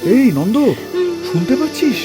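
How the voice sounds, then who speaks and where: Animated, high-pitched bossy man. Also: a little slow, over music, heavily acted. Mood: urgent, commanding. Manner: animated, commanding, colloquial. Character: bossy, curious man in charge, exploring a ruin. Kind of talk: Bengali audio story acting.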